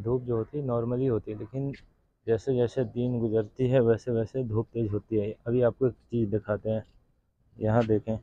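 A young man in his twenties chatting casually as a vlogger, filming himself on a phone held at arm's length. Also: mid-range voice. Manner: chatty, repetitive, walks while filming. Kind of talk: casual vlog narration. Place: outdoors in city streets and a busy restaurant. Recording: dull lo-fi phone audio with crowd. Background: crowd chatter.

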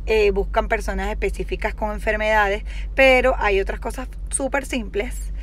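A woman's voice: mid-range voice, steady tone, normal speed. A young woman chatting casually to her phone camera from inside a parked car, hesitant and full of fillers as she explains something. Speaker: vlogger. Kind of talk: casual selfie-video chat. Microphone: phone held at arm's length.

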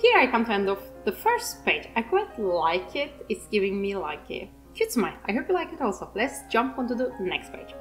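A woman's voice: on the high side, sing-song, normal speed, few pauses, faint music behind. A woman with a Turkish accent, a native Turkish speaker, giving a rambling, self-mocking voice-over while she paints, laughing often. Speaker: art channel host.

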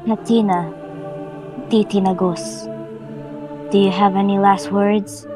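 A woman's voice: mid-range voice, level tone, slow pace, lightly acted. A woman playing a grave, solitary, proud villain in a game roleplay, speaking formally and solemnly. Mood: menacing. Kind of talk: scripted game roleplay acting. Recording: music behind the voice.

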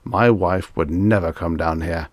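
A man's voice: deep voice, a little slow, dry recording, clean studio sound. A man voicing a rich, impatient bully in an audiobook story, with a curt, growling manner. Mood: angry.

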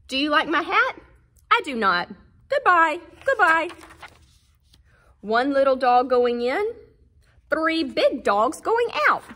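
Animated high-pitched woman reading to children. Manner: animated, deliberate, emphatic phrasing. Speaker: guest children's book reader. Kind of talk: expressive picture-book read-aloud for young children.

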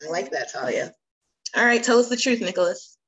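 A woman's voice: mid-range voice, big pitch swings, fairly quick, a crowd in the background, poor audio. A woman hosting a casual group video call, playful, reacting aloud and urging people on. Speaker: host of a virtual icebreaker session.

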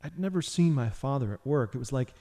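A man's voice: medium pitch, animated, a little fast, warm tone, big-room sound, lightly acted. A man playing a brooding, haunted vigilante hero, narrating a noir inner monologue in an audio drama. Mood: wistful.

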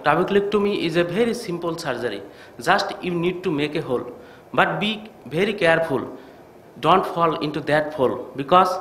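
A man's voice: fairly high pitch, a little hiss or hum. Earnest Bangladeshi man lecturing in a hall. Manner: earnest, measured, slightly halting. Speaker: eye surgeon giving a conference talk. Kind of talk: conference lecture. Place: large conference hall. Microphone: podium microphone. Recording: echoey hall with faint ambience.

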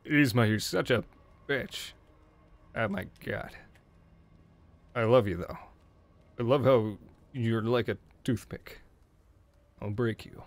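A man with a hoarse voice, casually commenting on his gameplay and joking at the enemies on screen. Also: medium pitch, slow, long pauses. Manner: jokey, talks to enemies on screen. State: hoarse from screaming. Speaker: game streamer.

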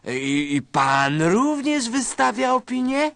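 An anxious army lieutenant, put on by a man in a satirical radio play. He sounds hesitant and incredulous, pitched up, in a very dry recording. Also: very animated, slow, creaky, fairly bright tone, dry recording, exaggerated voice. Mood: hesitant, doubtful.

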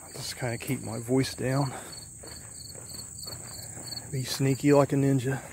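Flat-voiced man rambling on a walk. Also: medium pitch, a little slow, gravelly, slight background noise. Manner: rambling, thinking aloud while walking. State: a little tired from a long walk. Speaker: vlogger exploring an abandoned road. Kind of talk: casual walking vlog. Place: outdoors on an overgrown country road. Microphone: handheld or body camera while walking. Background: faint insects and rural ambience.

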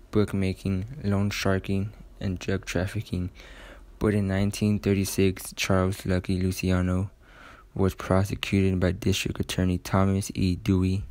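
A man's voice: sits low, darkish tone. A man reading a scripted news report as a reporter.

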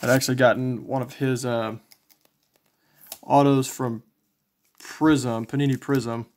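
A man casually commentating as he shows collectibles, in a low-key, folksy way, thinking aloud. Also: little pitch movement, a little slow. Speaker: trading card collector and video host. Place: indoors, small home tabletop setup.

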